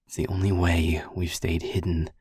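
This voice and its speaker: A man playing a gruff, protective friend in an audio roleplay, speaking in a husky, breathy low voice with slow, deliberate phrasing. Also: level tone, darkish tone, dry recording, clean studio sound. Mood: matter-of-fact.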